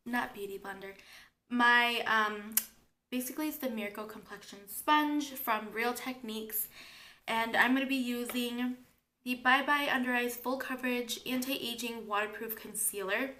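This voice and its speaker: A woman's voice: on the high side, bright, forceful tone. A young woman with a cold and a sore throat, chatting her way through a makeup tutorial and explaining each step as she works. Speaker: beauty vlogger.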